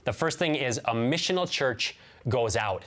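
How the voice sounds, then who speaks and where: A man's voice: mid-range voice, lively intonation, moderate pace, a bit bright. American man preaching, earnest and emphatic. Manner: earnest, emphatic, repeats key phrases and asks rhetorical questions. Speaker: pastor. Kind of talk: sermon, teaching to camera. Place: indoors, small room with bookshelves.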